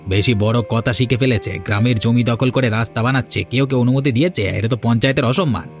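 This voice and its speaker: A man's voice: mid-range voice, fast, lightly acted. A village villain from a cartoon, acted by a man, bullying and cowardly at heart. He schemes in an adult male voice, with faint music behind him. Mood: scheming. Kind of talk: cartoon voice acting.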